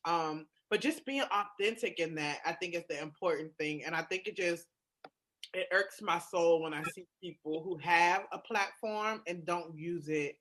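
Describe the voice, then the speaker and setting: A woman's voice: on the low side, normal speed, fairly bright tone. A woman co-hosting a podcast in candid casual chat, with an informal, emphatic, colloquial way of talking. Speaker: podcast co-host.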